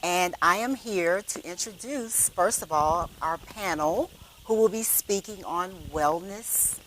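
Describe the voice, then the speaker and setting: A woman's voice: on the low side, slow, slight background noise, low-quality recording. A woman in her fifties hosting a church panel discussion, conversational and often checking in with the room. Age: 50s. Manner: warm, conversational, checks in with "okay?". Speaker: host, moderator of a women's panel.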